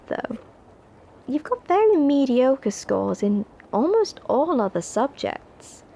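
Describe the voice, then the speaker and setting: A woman's voice: on the high side, gravelly, darkish tone, heavily acted. A woman playing a haughty, prim upper-class schoolgirl at a wizard academy in an ASMR roleplay, snooty, scolding and teasing. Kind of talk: ASMR roleplay addressed to the listener.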